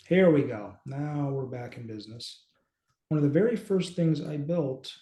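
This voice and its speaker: A man in his thirties gives an informal demonstration, pausing to think aloud as he goes. His voice is mellow and mid-pitched. It carries clear room echo, like a home office video call. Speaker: co-host, app company team member. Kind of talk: casual, informal demonstration chat. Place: small home office indoors.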